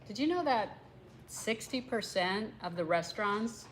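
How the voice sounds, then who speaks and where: A woman's voice: mid-range voice, expressive, unhurried, slight background noise, low-quality recording. A woman from the United States hosting a talk show, asking questions with eager, frequent "wow" reactions. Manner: enthusiastic, reacts often with "wow". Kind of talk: interview.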